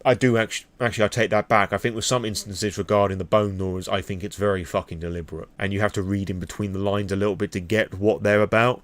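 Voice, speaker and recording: A man's voice: fairly low pitch, fairly even intonation, quick pace, hardly any pauses. A British man giving an impromptu personal vlog talk, candid and reflective, with some hesitation and casual swearing. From Britain. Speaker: vlogger.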